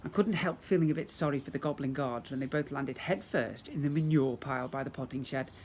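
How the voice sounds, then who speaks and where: A man giving an expressive storybook narration, as a teacher reads to young children. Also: mid-range voice, a little fast. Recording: clear background noise.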